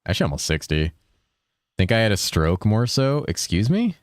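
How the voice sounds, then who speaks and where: Deep-voiced laid-back male streamer. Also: very animated, normal speed, dry recording, clean studio sound. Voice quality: deep voice that he holds a bit higher than his natural one. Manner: laid-back, rambling, swears a lot, reads chat aloud. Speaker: game streamer. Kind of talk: casual live-stream chat while gaming.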